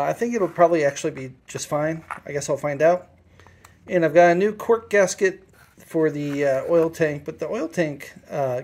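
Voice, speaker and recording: A man's voice: fairly high pitch, darkish tone. A man explaining his motorcycle restoration step by step in a home garage workshop, matter-of-fact and full of fillers. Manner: plain, matter-of-fact, with frequent fillers and self-corrections.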